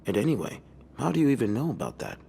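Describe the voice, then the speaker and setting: An actor playing a gruff, condescending police detective pressing a witness in a 1950s mystery game. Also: male voice, mid-range voice, huge pitch swings, quick pace, dark tone, in a large hall, slight background noise. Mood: probing.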